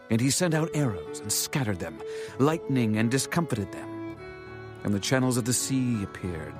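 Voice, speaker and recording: An actor playing a devout warrior king, reciting scripture in a reverent, declamatory, deliberate way. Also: mid-range voice, lightly acted. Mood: awed, intense. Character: devout warrior king singing God's praise in verse.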